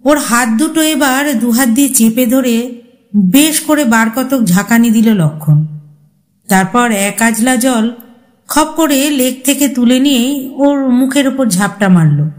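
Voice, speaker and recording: Slow, higher-pitched woman storyteller. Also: darkish tone. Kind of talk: dramatic scripted narration of a horror story.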